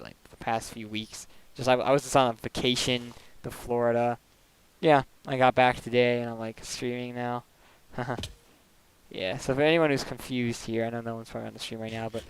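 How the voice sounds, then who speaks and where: A young man talks loosely while he plays, hopping between thoughts and padding everything with "like" and small laughs. His voice is smooth and clean, sitting in an ordinary middle pitch. It sounds like a desk microphone at home. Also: no room sound, poor audio. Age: young adult. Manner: rambling, full of "like" fillers, quick laughs. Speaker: streamer. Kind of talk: casual chat while gaming.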